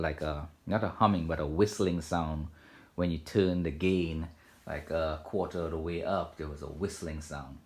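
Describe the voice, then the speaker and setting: A man with a deep, low-pitched voice talks casually as a home vlogger. His delivery is unscripted and halting, wandering through points with frequent ums and corrections as he goes. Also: darkish tone. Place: home theater room indoors.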